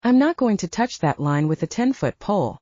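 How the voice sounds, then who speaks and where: A woman's voice: medium pitch, very animated, a little fast, dark tone, no room sound, highs cut off. A woman voicing a guarded male werewolf in an audiobook romance, speaking in a restrained, dry manner.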